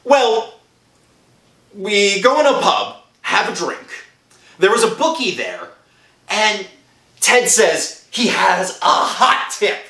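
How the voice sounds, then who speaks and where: Roomy echo, male actor as guilt-stricken working-class husband, halting, very animated pitch, radio thriller. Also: full character voice. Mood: excited telling. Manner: halting, dramatic. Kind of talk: old-time radio thriller acting, narration and dialogue. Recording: roomy echo, faint music behind.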